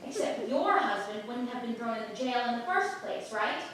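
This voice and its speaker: A woman's voice: a little high, sing-song, normal speed, a bit bright. An actress playing an earnest, outspoken young townswoman in a staged reading of a period play. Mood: resentful. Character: Alice, an earnest, outspoken young sweetheart of a jailed apprentice. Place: playhouse stage. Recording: echoey theatre from far off, noisy.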